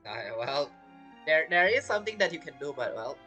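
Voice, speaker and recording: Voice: mid-range voice, sing-song, brisk, sharp tone, soft background music. A streamer giving casual, hesitant, rambling commentary while playing a video game. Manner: hesitant, rambling, self-talking.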